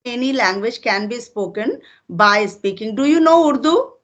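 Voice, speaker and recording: Older woman, Indian accent, Hindi first language, teacherly, patient, fairly high pitch. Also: highs cut off. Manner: patient, teacherly, unhurried with fillers. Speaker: retired teacher and channel host. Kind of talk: casual interview conversation.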